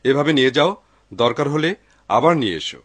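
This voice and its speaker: An actor playing an earnest, kindly uncle in a cartoon folk tale, measured in delivery. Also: male voice, mid-range voice, lively intonation, no room sound, close to an everyday voice. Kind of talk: cartoon dub acting.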